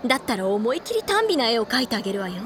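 A woman's voice: on the high side, sing-song, fast talker, background noise. A woman playing a sly, self-assured senior schoolgirl who teases coyly in an audio drama. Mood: teasing, coy. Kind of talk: Japanese audio drama acting.

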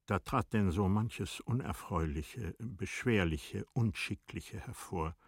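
A man narrating a literary radio drama in a deliberate, formal storytelling manner. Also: fairly low pitch, slightly rough, no room sound, studio quality.

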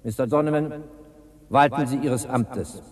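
An actor playing a stern prison governor at a hanging, formal and solemn, in 1960s radio drama style. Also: male voice, medium pitch, a little slow, reverberant, big-room sound, over loud music, close to an everyday voice. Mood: grave, formal. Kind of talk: 1960s German radio crime drama acting.

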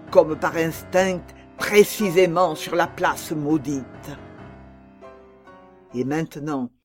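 Man playing a slow-spoken, nervous madman over music. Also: fairly high pitch, lightly acted. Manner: deliberate, halting, theatrical, with insistent repetitions. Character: nervous, obsessed madman confessing his murder, male. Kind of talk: dramatic literary audiobook narration. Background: music under the voice.

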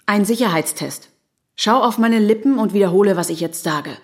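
A woman's voice: mid-range voice, moderate pace, lightly acted. A woman playing a sarcastic, teasing wife who needles her failed-writer husband in German radio play dialogue. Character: sharp-tongued, mocking wife of a failed writer.

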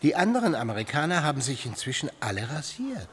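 A man's voice: on the high side, big pitch swings, quick pace, fairly bright tone, husky, heavily acted. An actor playing a courteous, reflective army colonel in his forties in a radio play. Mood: genial, urbane. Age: 40s. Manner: urbane, conversational, reflective. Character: courteous, musing infantry colonel aged forty-three.

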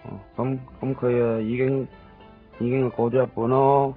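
A man's voice: mid-range voice, little pitch movement, moderate pace, natural voice. An actor plays an anxious, apologetic buddy in a Hong Kong Cantonese radio drama. His middling voice is talkative and evasive. It is an old, dull recording with some music behind. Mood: nervous. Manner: hesitant, stammering, pleading. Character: nervous, apologetic longtime male friend, talkative and evasive.

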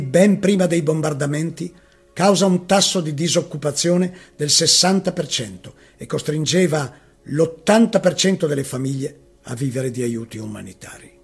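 A man's voice: a little high, creaky, husky. A man giving a dramatic reading of a first-person eyewitness account. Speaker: reader. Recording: music playing behind the voice.

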